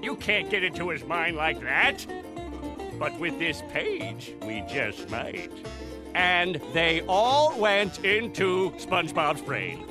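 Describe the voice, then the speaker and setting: Slow, swooping cartoon game character. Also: male voice, a little high, big pitch swings, over music. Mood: measured. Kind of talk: animated video game voice acting.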